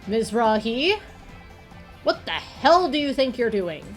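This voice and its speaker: An exasperated police detective from a visual novel game, acted out by a woman. The delivery is brusque, gruff and challenging, with music clearly heard underneath. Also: fairly high pitch, big pitch swings, slow pace.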